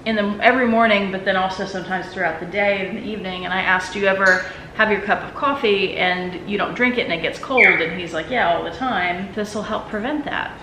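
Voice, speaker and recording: A woman's voice: mid-range voice, a little fast, few pauses. Woman chatting casually in an echoey room. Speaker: vlog host. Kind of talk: casual chat while opening gifts. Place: indoors at home, roomy space. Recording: roomy echo, noticeable background noise.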